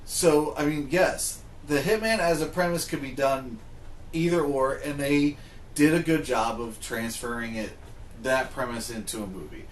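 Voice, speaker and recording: A man talks as a podcast co-host in an explanatory, conversational way, circling back to restate his points. His voice sits at a medium pitch in an echoey room with faint noise behind it. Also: normal speed. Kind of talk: casual chat about games and films. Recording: roomy echo, faint background noise.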